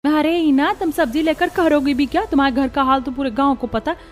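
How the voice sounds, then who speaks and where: Woman as high-voiced taunting village gossip. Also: a little fast, soft background music, lightly acted. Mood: mocking. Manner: taunting, mock-pitying.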